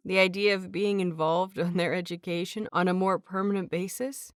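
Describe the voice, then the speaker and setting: A woman reading fanfiction aloud as an audiobook, with expressive, playful inner-monologue delivery. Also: lowish voice, moderate pace, clear, resonant tone, dry recording, clean studio sound. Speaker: audiobook reader.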